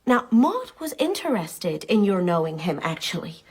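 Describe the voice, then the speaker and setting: A woman's voice: fairly low pitch, very animated, moderate pace, a little mellow, husky. An actress playing an anxious, protective middle-aged lady companion in a period radio drama, speaking hesitantly and carefully. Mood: probing, tentative. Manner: hesitant, careful, repeating herself.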